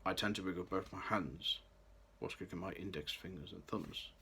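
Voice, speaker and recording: Low-voiced young man, bright tone. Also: normal speed, a little hiss or hum. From Birmingham, England. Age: late 20s. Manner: careful, read-aloud delivery with occasional restarts. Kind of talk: scripted personal vlog.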